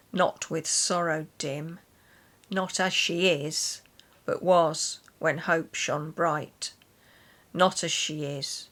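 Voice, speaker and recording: A woman's voice: lowish voice, fairly bright tone. A woman reading poetry and chatting casually about books. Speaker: guest reader on a literature chat channel.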